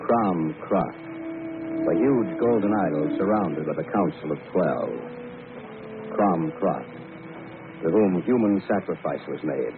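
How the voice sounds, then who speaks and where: A man's voice: deep voice, expressive, slow pace, pauses often. An actor playing an earnest, uneasy man in a grave, dramatic old-time radio style. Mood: grave, foreboding. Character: Jack, earnest, uneasy young man telling a supernatural tale. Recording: noisy, narrow-band vintage radio.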